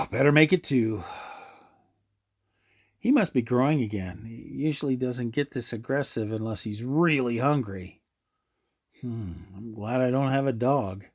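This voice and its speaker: An actor playing a weary, troubled man in an audio drama, talking in a conversational, muttering way. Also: mid-range voice. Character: weary, troubled male searcher who lives with snake. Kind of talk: radio drama acting.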